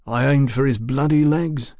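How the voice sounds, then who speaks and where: A police sergeant in an audiobook , acted by a male narrator. He speaks clearly and deliberately, with firm, quiet authority. His tone is wry and admonishing, in a close, dry recording. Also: mid-range voice, no room sound, lightly acted. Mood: brisk, gruff.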